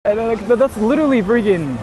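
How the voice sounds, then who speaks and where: A man's voice: high voice, lively intonation, quick pace, poor audio. A young man from Japan with a Japanese accent, chatting casually as a live streamer, informal, repetitive and free with swearing. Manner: repeats himself, informal, swears freely. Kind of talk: casual live-stream chat, answering viewer comments. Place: outdoors on a busy city street at night. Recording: street noise, traffic and crowd.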